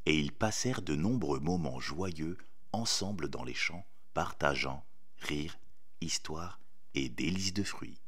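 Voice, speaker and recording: A man narrating a scripted children's story expressively, with theatrical pauses. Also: low voice, husky.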